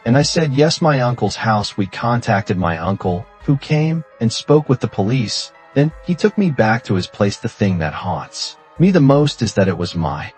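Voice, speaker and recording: A man's voice: medium pitch, very animated, moderate pace, few pauses, a little mellow. A man reading scripted first-person horror stories as a narrator. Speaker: horror story channel narrator. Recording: music bed under voice. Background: music.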